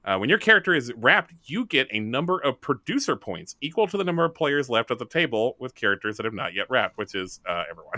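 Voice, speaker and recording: Animated, bright-voiced man joking over a game. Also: mid-range voice, fast, hardly any pauses, dry recording, clean studio sound. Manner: jokey, playful. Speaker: podcast host and player. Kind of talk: casual tabletop game banter.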